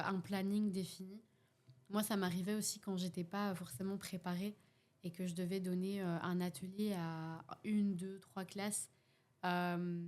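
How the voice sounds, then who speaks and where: A woman's voice: mid-range voice, a little mellow. A young woman with a Belgian French accent in a casual podcast discussion, speaking hesitantly with many fillers and restarts. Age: young adult. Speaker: podcast participant, youth workshop facilitator.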